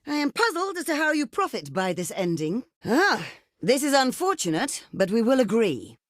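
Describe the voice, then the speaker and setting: A woman's voice: on the high side, very animated, moderate pace, dry recording, studio quality. A woman playing a proud, imperious ancient desert queen for a video game, speaking in a regal, theatrical, declamatory way. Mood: cool disappointment. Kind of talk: video game diplomacy voice lines.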